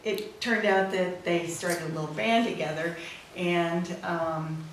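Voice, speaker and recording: Lowish-voiced woman, distant in a very echoey room. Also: steady tone, takes it slowly. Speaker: speaker at a memorial celebration. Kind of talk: informal anecdote told to a gathering. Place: back room at a memorial gathering. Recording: echoey noisy room, far from mic.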